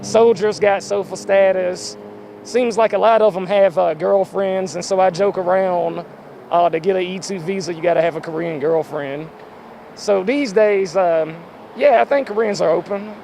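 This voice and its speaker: Casting: US male from North Carolina, creaky high voice, relaxed interviewee chat, faint street noise. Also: moderate pace. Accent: American. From North Carolina, United States. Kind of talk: casual street interview answers.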